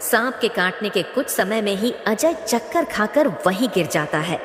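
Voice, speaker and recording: A woman's voice: medium pitch, animated, moderate pace. A woman narrating a scripted cartoon moral story as its storyteller. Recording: echoey, long reverb.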